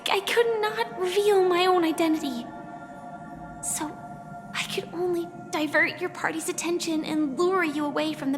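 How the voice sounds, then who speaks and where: An actress playing an earnest, wistful young woman hunter in a video game, speaking gently and with measured, hesitant pauses. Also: extremely high pitch. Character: soft-spoken young female hunter confessing her secret identity, earnest, wistful. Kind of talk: scripted video game story dialogue. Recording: long reverb, music behind.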